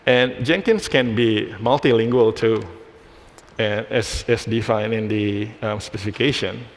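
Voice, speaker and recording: A man from Indonesia giving a live technical presentation on a conference stage, restarting phrases as he works through a demo. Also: lowish voice. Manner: halting, restarts phrases while demoing. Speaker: conference speaker. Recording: hall reverb.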